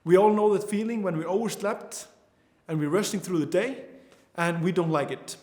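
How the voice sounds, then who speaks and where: A man's voice: high voice, normal speed, darkish tone. Earnest young Icelandic man, echoey room. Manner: earnest, with frequent restarts and fillers. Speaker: course instructor. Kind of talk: online course lecture.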